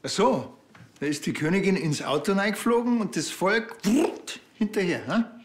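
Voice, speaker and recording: A man's voice: high voice, lively intonation, normal speed, echoey room, a little hiss or hum. An actor playing a dry, needling policeman in a TV drama, with a Bavarian accent. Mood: dry teasing.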